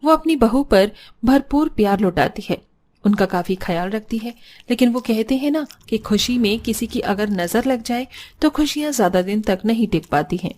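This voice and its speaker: A woman's voice: fairly high pitch, animated, normal speed, darkish tone. A woman reading scripted narration for a cartoon story.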